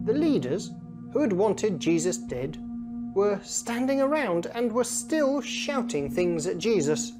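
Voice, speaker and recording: A woman reading a children's Bible story from a script in a dramatic way. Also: on the low side. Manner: dramatic, acts out the quoted speech. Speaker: storybook reader. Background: music.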